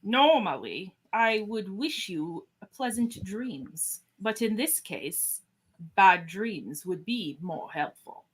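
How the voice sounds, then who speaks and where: A woman playing a composed, commanding starship captain in a live radio-theatre script reading, deliberate and authoritative. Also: medium pitch, sing-song, slightly rough, heavily acted, poor audio. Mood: measured, commanding.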